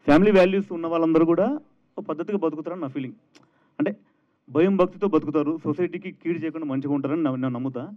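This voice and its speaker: Thin, phone-like event audio carries a man speaking very quickly in a fairly high voice. He explains himself earnestly, as if answering the press. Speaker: film director.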